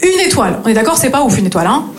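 A woman's voice: on the high side, big pitch swings, very fast. A woman in her thirties from northeastern France delivering a chatty, self-mocking stand-up monologue on stage, with frequent questioning tags to her listeners. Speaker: stand-up comedian. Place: live stage before an audience. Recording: live room with audible audience. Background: audience.

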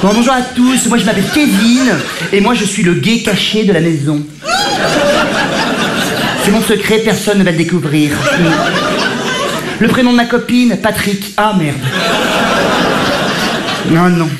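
A man's voice: sits high, a little slow, long pauses. A man playing a spiteful young male contestant who is boastful and nasty. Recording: echoey room with loud ambient noise.

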